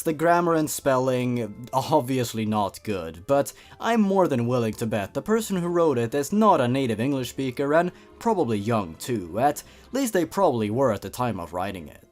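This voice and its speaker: A man's voice: medium pitch, very animated, moderate pace, soft background music. A man reads a story and comments on it like a scripted reviewer, in a playful, theatrical, sarcastic way. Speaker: host reading and reviewing a horror story.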